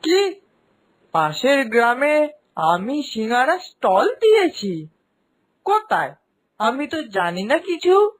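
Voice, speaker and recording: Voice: sits high, very animated, takes it slowly, pauses often, heavily acted. An actor playing a humble, aging male village snack seller in a cartoon, with folksy, plaintive speech and a sighing manner. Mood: fretful, puzzled. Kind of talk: Bengali cartoon voice acting.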